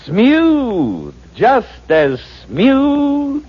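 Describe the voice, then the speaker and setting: An actor voicing an emphatic 1940s radio advertising pitchman, stretching out his phrases. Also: wildly swooping pitch, slightly rough, exaggerated voice. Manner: drawn-out, emphatic repetition. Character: slow, exaggerated male advertising pitch voice. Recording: dull, muffled 1940s radio recording.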